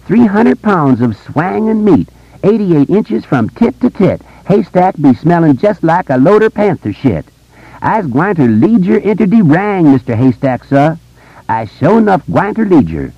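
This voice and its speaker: A man's voice: sits high, sing-song, a little slow, dry recording, muffled recording, voice pushed up, heavily acted. A man voicing a joking boy who puts on an exaggerated, frantic servant caricature with a theatrical wailing drawl. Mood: mock-frantic clowning.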